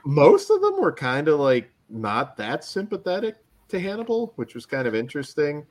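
A man's voice: on the high side, wildly swooping pitch, normal speed. A man co-hosting a podcast, telling anecdotes in casual wrestling review chat and often chuckling as he talks. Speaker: podcast host.

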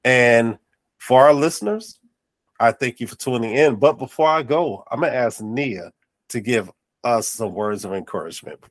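Man, podcast host, nasal and congested, affirming, dry close mic, mid-pitched. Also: normal speed, dry recording. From North Carolina. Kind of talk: casual interview chat.

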